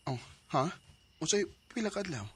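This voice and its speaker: A man's voice: sits high, animated, fairly quick, slightly rough, exaggerated voice. A man acting a lovelorn, earnest young man in a radio drama, with an emotional, pleading delivery. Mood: longing. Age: young adult. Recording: noisy background ambience.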